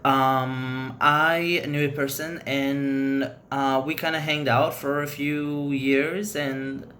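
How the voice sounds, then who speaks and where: A man's voice: medium pitch, flat delivery, slow, a bit bright, a little hiss or hum. Rambling native Hebrew speaker, man. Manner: rambling, loose, frank opinions. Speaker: vlogger. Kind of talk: casual chat to camera.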